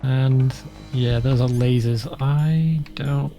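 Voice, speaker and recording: A man's voice: medium pitch, fairly even intonation, slow, mellow, faint music behind. A man giving casual, offhand gameplay commentary, muttering to himself as he plays. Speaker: let's play commentator.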